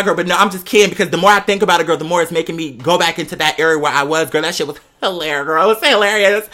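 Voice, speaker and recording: Young american vlogger, bright punchy voice, super sassy and slangy, repeats stuff for effect, chatty gossip storytime. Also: medium pitch. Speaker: vlogger addressing patrons.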